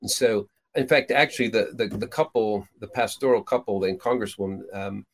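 A man's voice: lowish voice, normal speed. A middle-aged man raised in Peru, hosting a casual talk show about his ministry trip, rambling through many restarts and fillers. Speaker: prophetic minister and show host. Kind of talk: casual chat.

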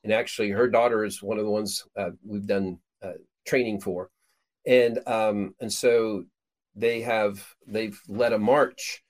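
A middle-aged man with a fairly low voice talks loosely on a chat show. He rambles and keeps restarting his sentences. He was raised in Peru. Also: steady tone, measured pace. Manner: rambling, many restarts and fillers. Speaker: prophetic minister and show host. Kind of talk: casual chat.

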